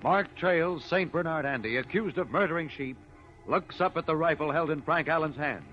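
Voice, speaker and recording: A man's voice: on the high side, moderate pace, close to an everyday voice. A man narrating a 1950s radio adventure serial in a dramatic, declamatory way. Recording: dull old radio recording with loud music.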